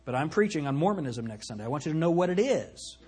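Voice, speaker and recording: A man preaching a sermon from the pulpit, emphatic, swinging from loud peaks to low, quiet lines. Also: sing-song, fast talker, husky. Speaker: pastor.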